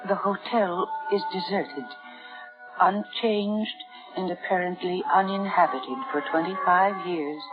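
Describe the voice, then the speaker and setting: A woman playing an anxious, melancholy new wife in a 1950s radio thriller, speaking with hesitant, trembling dread. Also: on the low side. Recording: dull vintage radio broadcast, music behind.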